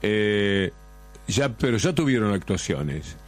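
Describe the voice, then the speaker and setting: Chatty radio host, low voice. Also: male voice, sing-song, slow, soft background music. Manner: chatty, lots of questioning tags. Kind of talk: casual radio interview.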